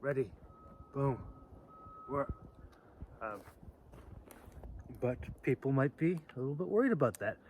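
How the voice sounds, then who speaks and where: A man hosting a casual, off-the-cuff demonstration video, talking in a halting, rambling way with phrases that trail off. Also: medium pitch, expressive, darkish tone, a little hiss or hum. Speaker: video host demonstrating sword carry.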